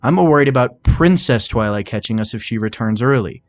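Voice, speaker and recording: A man's voice: mid-range voice, expressive, brisk, close to an everyday voice. Man voicing a nervous, hesitant cartoon stallion thief. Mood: worried. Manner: anxious, hesitant, worrying. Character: nervous, gullible unicorn stallion thief, reluctant sidekick. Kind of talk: cartoon fan fiction character voice.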